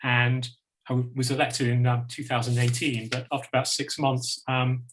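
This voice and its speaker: A councillor addresses an online event over a video call. He speaks at a middle pitch and hesitates with frequent ums. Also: little pitch movement, moderate pace, fairly bright tone, a little hiss or hum. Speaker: local councillor.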